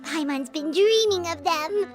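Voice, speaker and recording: A woman voicing a small, cheerful, food-loving childlike fairy companion with a squeaky voice in a video game. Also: measured pace, soft background music. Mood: excited. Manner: refers to self in third person, perky.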